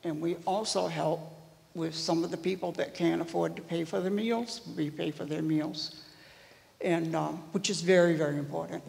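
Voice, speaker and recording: An older woman with a loud voice speaking emphatically at a town meeting, repeating words for stress. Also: lowish voice, fairly even intonation, normal speed, gravelly. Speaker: board member of a senior association. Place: large meeting hall. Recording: long hall reverb.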